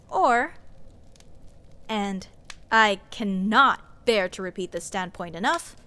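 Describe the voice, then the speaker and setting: A woman's voice: medium pitch, fairly bright tone. A woman playing a snarky, exasperated sorceress adventurer in a fantasy comedy audio roleplay, sarcastic and nitpicky, stressing and stretching her words. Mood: exasperated, scoffing. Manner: sarcastic, scoffing, emphatic with drawn-out words.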